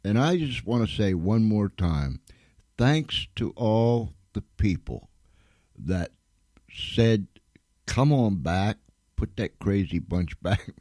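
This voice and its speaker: A man's voice: fairly low pitch, dark tone, no echo. A man hosting an informal live radio show, speaking to listeners in a folksy, effusive way and repeating phrases for emphasis. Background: music.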